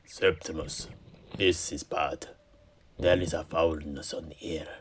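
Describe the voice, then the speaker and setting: A man voicing a grave warrior companion in a fantasy tale, measured and foreboding. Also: very deep voice, fairly even intonation. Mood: grave warning. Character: grave male warrior companion warning his brother. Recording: clearly noisy recording.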